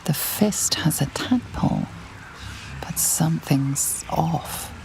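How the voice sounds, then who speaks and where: A woman playing a solemn, mysterious fantasy game narrator, hushed, measured and intimate. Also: low voice, gravelly, noisy background, full character voice.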